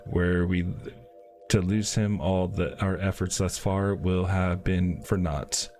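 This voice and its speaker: A man's voice: sits low, level tone, takes it slowly, darkish tone, a little hiss or hum. A man reading game dialogue aloud on a livestream, sometimes stumbling and going back over phrases.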